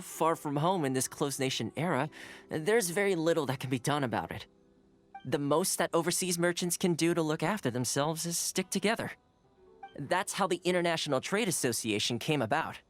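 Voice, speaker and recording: A man's voice: fairly high pitch, animated, brisk, soft background music, lightly acted. A man voicing an affable young local fixer in a video game, breezy, persuasive and politely teasing. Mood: explanatory, easygoing.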